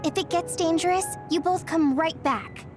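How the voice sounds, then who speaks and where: A woman playing a gentle, protective young girl in an English game dub, with music behind her. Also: very high-pitched, moderate pace. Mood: gentle, reassuring.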